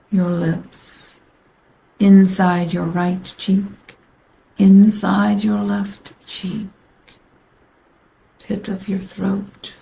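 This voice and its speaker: Very slow, low-voiced woman guiding meditation. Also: gravelly, dark tone, rough recording. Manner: soothing, unhurried, with long pauses. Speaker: yoga nidra guide. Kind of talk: guided meditation.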